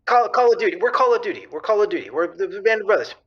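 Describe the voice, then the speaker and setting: A man playing a sheepish gamer who calls in for advice, speaking in a hesitant, halting way. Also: sits high, big pitch swings, lo-fi sound. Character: sheepish male gamer with a girlfriend ultimatum. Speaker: caller.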